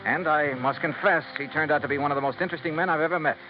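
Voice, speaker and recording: Male actor, dogged American radio detective, wry, brisk delivery, music behind, dull old recording. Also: a little high, close to an everyday voice. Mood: measured, intent. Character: male insurance investigator, dogged, wry, narrating his case. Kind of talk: 1950s radio detective acting and narration.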